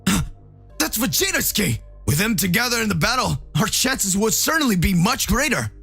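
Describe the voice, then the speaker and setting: A man putting on an excitable, high-pitched young warrior hero. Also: moderate pace, creaky, husky, faint music behind, heavily acted. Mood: excited.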